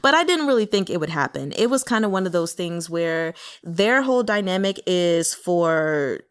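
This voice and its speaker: A woman's voice: fairly low pitch, expressive, normal speed, smooth. A woman chatting casually and candidly about a novel as a book reviewer, in a conversational, mildly exasperated way. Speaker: book reader and reviewer with an audience. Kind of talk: casual chat commentary on a novel.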